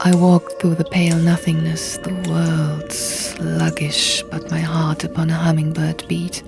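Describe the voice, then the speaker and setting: A woman reading a fantasy audiobook dramatically in the first person, in hushed, deliberate short phrases, over music and sound effects. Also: sits low, monotone, vocal fry. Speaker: audiobook narrator.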